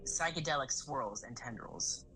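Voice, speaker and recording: A woman's voice: very deep voice, measured pace, pushed and bright, faint music behind, full character voice, rough recording. A woman reading scripted narration for an audio drama.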